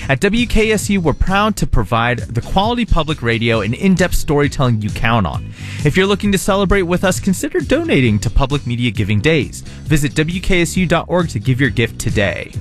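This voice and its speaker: A man's voice: fairly high pitch, big pitch swings, brisk, faint music behind. A man reading scripted promos as a public radio station announcer.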